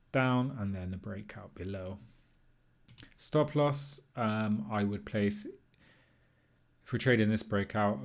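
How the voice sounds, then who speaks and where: A man's voice: lowish voice, darkish tone. A man giving an informal, unscripted tutorial, thinking aloud in an easygoing, tentative way as he explains. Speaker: trading tutorial presenter.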